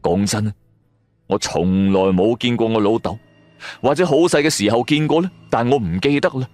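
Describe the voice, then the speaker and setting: A man's voice: mid-range voice, moderate pace, a little mellow, faint music behind. A man with a Cantonese accent narrating a radio drama in the first person, with colloquial, theatrical delivery.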